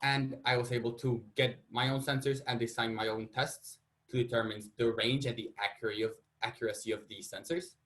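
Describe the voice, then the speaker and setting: A man's voice: medium pitch, fairly even intonation, fairly quick, a bit bright. A young man from Colombia, a student presenting his research in a casual, self-deprecating way. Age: young adult. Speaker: student panelist.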